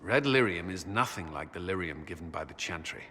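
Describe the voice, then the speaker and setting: A grave, bright-voiced commander. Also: male voice, sits low, expressive, brisk, long reverb tail, slight background noise. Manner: grave and firm. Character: grave male military commander, stern and earnest. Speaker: military commander.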